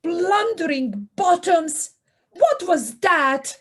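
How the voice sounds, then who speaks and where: A woman's voice: extremely high pitch, very animated, extremely slow, exaggerated voice. A woman playing a timid, anxious and polite little meadow animal in an amateur audio play, hesitant and flustered. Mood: flustered alarm.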